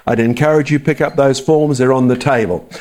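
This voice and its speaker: A man's voice: medium pitch, steady tone, a little fast, a little mellow. A man with a mild Australian accent giving a casual, joking church talk to an audience. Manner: chatty, joking asides to the audience. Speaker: creationist speaker.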